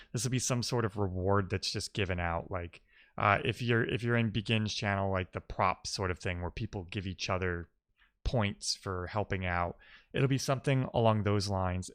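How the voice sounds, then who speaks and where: A man who streams live coding, chatting casually and musing out loud in loose fragments as he works. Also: lowish voice. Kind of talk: casual thinking-aloud coding stream chat.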